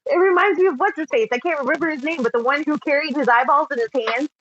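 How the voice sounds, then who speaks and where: Female co-host, casual and excitable, a touch high, lo-fi dull audio. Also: fast, slight background noise. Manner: excitable, laughs easily, exclaims. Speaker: podcast co-host. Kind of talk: casual chat.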